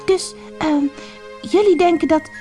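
A woman's voice: very high voice, a little slow, dark tone, soft background music, pitched above the performer's own voice. A woman voicing a brave, curious little girl on a quest in a storybook tale. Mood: hesitant, curious. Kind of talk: storybook character voice.

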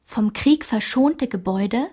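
A woman performing a narrator who recalls her small-town French childhood in a literary radio play, in a measured, enumerating delivery. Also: on the high side, expressive, warm tone, slightly rough. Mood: measured, reflective.

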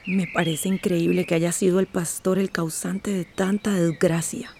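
An actress playing a concerned, coaxing young sister in a radio drama, with a Central American accent. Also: female voice, lowish voice, little pitch movement, measured pace, a little mellow, slight background noise. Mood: dismayed, curious.

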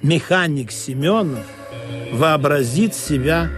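Theatrical sailor narrator, high husky voice, sweeping intonation, comic audio play, music behind. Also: male voice, slow pace. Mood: droll storytelling. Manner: expressive, droll, theatrical. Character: droll male sailor narrator, excitable and fawning among crew.

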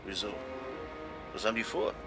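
An actor playing a pushy, excitable, scheming male crook in a German radio crime drama. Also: lowish voice, normal speed, gravelly, music in the background. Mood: agitated scheming. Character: agitated, scheming male crook, foul-mouthed.

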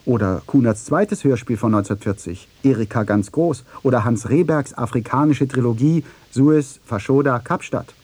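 Radio feature narrator, male, mid-pitched, husky and mellow, sober, precise. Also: moderate pace, hardly any pauses, dry recording. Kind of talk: scripted documentary narration.

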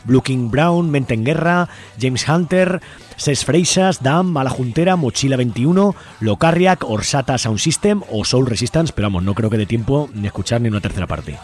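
A man's voice: mid-range voice, expressive, normal speed. A man with a Spain Spanish accent hosting a community radio show in a casual, informal, joking way. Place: busy radio studio. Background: faint music.

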